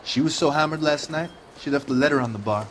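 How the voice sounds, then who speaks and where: Man acting as world-weary slangy bartender, highish voice. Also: fast talker, fairly bright tone, a little hiss or hum, natural voice. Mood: casual, dismissive. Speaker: voice actor in a detective video game. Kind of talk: scripted dramatic game acting.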